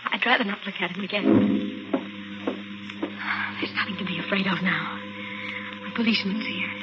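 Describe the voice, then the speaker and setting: An actress playing a shaky, frightened young woman in a 1940s radio drama, with halting, stammering delivery. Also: mid-range voice, close to an everyday voice. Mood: shaken. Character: frightened, shaky young woman insisting she saw a corpse. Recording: muffled old radio broadcast with music.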